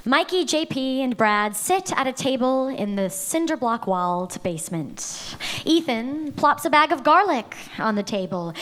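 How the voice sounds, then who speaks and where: A woman reading the stage directions aloud at a live table read, steady and measured. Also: high voice. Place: live venue.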